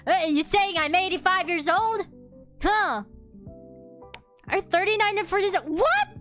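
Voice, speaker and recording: A young woman streaming as a VTuber, chatting casually while she draws and reacts to chat with animated, mock-outraged restarts. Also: extremely high pitch, a little slow, soft background music.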